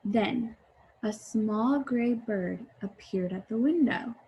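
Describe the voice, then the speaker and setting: A woman's voice: a little high, slow, highs cut off. A woman teacher reading a storybook aloud and explaining it simply to young children. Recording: faint background noise.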